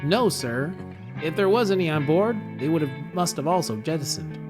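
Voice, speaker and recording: Lively reader playing a dutiful imperial officer. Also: mid-range voice, normal speed, over music, lightly acted. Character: brisk, dutiful imperial military officer reporting to superiors. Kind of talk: amateur table read of film script.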